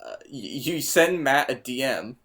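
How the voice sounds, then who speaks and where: A man's voice: mid-range voice, expressive, unhurried, fairly bright tone. A young man co-hosting a podcast, cracking jokes, swearing casually and laughing often. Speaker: podcast co-host. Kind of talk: casual banter.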